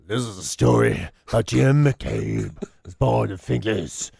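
A man's voice: fairly low pitch, lively intonation, a little slow, gravelly, darkish tone, slightly rough, dry recording, studio quality. An Irish man co-hosting a radio show with teasing, rambling comic banter, acting out his examples as he goes.

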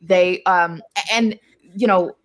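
A woman's voice: lowish voice, fairly even intonation, takes it slowly. A woman chatting casually as a podcast guest, speaking in a candid, earnest way. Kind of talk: casual chat.

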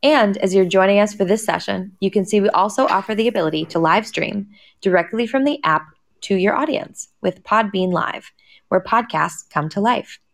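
A woman's voice: mid-range voice, normal speed, creaky. A woman host delivering a scripted promotional introduction for a live session.

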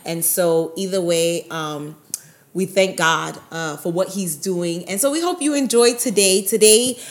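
A woman's voice: lowish voice, measured pace. A woman from Brooklyn, co-hosting a faith talk show in a chatty way and often saying "by God's grace". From Brooklyn, New York. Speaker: co-host of a ministry show. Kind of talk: casual conversational talk.

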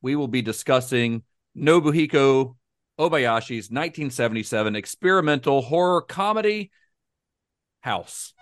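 A man's voice: medium pitch, animated, moderate pace, no room sound, studio quality. A man co-hosting a film podcast, talking casually with frequent restarts and laughs. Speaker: podcast co-host.

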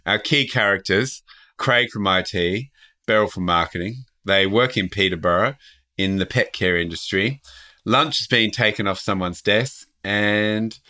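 A man's voice: on the low side, measured pace, sharp tone. A man hosting a podcast in a casual, playful way, chatting and improvising stories. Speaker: podcast host. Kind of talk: casual chat and improvised storytelling.

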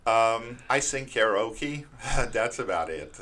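A man's voice: medium pitch, expressive, slow pace, sharp tone. A middle-aged man from Romania with a Romanian accent, a teacher giving earnest, plain-spoken interview answers. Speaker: literacy teacher, guest.

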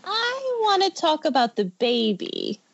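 A woman's voice: sits high, big pitch swings, a little slow, full character voice. A woman playing a blunt, sardonic young model in an amateur script read, recorded over a muffled internet call. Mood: blunt, protective. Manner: dry sarcasm. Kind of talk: amateur screenplay table read.

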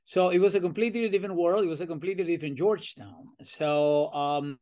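An older Venezuelan man speaks as an interview guest, with a voice that sits a bit high for a man. He tells his story in an animated, chatty way, slipping into the voices of people he remembers and filling pauses with "uh" and "um.". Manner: animated, acts out remembered dialogue, many fillers. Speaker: professor and podcast guest.

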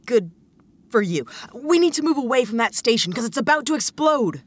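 A woman playing a no-nonsense space investigator, brisk, dry and impatient, in a sci-fi audio drama. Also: fairly high pitch, expressive. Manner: brisk, sardonic, commanding.

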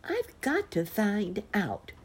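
A woman voicing an excitable, determined young male bear cub in a children's story, eager and pleading. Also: medium pitch, slow, husky, pitched above the performer's own voice, exaggerated voice.

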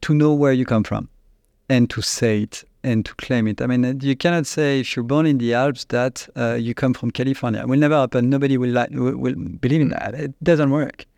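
French-accented man with halting English. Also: mid-range voice, fairly even intonation, vocal fry, darkish tone, no room sound, dull audio. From France. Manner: halting, restarts often, searches for English words. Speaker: company CEO, guest. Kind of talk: conversational interview answers.

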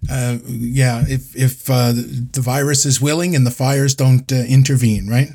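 A man's voice: mid-range voice, steady tone, measured pace, mellow, husky. A man hosting a podcast, introducing his guest and asking questions in an easygoing way. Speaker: podcast host.

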